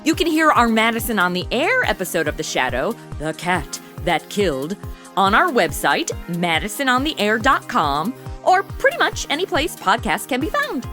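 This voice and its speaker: A woman's voice: fairly high pitch, huge pitch swings, normal speed, bright, forceful tone, soft background music. A woman speaking as a podcast cast member, giving a friendly thank-you sign-off.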